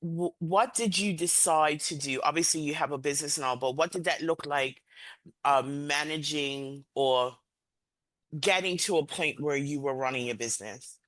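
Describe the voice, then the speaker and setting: Podcast host, female: bright, forceful delivery, very dry sound, emphatic yet conversational interviewing. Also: low voice, normal speed, no echo.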